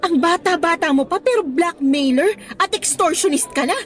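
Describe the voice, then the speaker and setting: A woman's voice: very high voice, lively intonation, moderate pace, a bit bright. An actress playing a principled young woman teacher with a Filipino accent in a Tagalog radio drama, earnest and scolding, switching into English at times. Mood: indignant, firm.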